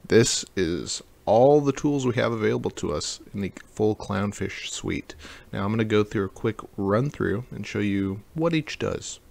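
A man narrating a casual software tutorial in a jokey, slangy way. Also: fairly low pitch, vocal fry, no echo. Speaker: tutorial host.

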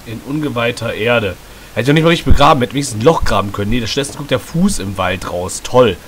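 A man with a slight cold commenting casually and sarcastically on a story game, often clearing his throat. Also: medium pitch, lively intonation, fast talker, a bit bright. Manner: chatty, joking, sarcastic. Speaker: let's play host. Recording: hissy home mic.

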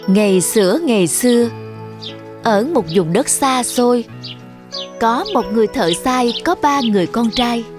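Casting: female storybook narrator, fairly high voice, scripted children's fairy tale with music underneath. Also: unhurried.

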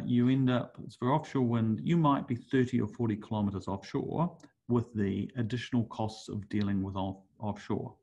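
A man's voice: mid-range voice, darkish tone, highs cut off. A middle-aged man with a New Zealand accent, giving a conference talk over slides, hesitant with many fillers and restarts. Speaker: conference speaker. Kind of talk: webinar presentation over slides.

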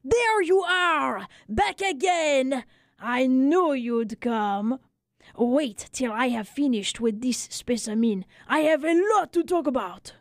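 A woman voicing a small old Frenchman, a weary, talkative barber of wry philosophy, exclaiming and lamenting in drawn-out phrases for a storybook reading. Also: sits high, expressive, no room sound, studio quality, heavily acted. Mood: excited.

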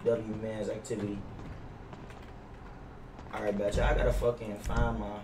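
A man's voice: on the low side, level tone, unhurried, recorded from a distance. A young man with an American accent talks brashly on a gaming livestream, full of slang and swearing. His voice sounds roomy and a little off the mic, with faint noise behind it. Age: young adult. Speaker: game streamer. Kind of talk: casual gaming livestream chat with role-play banter. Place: indoor room at streaming setup. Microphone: gaming headset.

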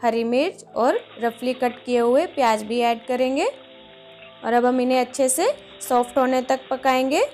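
A woman hosting a home cooking video, patiently explaining each step in a calm instructional voice-over. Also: high voice, moderate pace, soft background music. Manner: patient, explains each step, often says "dekhiye, lijiye". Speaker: cooking channel host.

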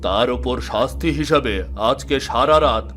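A man's voice: medium pitch, normal speed, fairly bright tone, a little hiss or hum, natural voice. A man voicing an imperious, scolding village landlord. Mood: angry scolding. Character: angry domineering male landlord scolding his workers.

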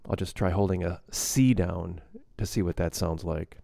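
A man giving a casual software tutorial as a music production instructor, explaining plainly step by step. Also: low voice, moderate pace, creaky, heavy background noise.

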